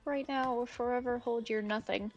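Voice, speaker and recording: A woman's voice: on the high side, fairly even intonation, moderate pace, highs cut off, faint music behind. A woman co-streamer chatting casually, making wry, teasing remarks.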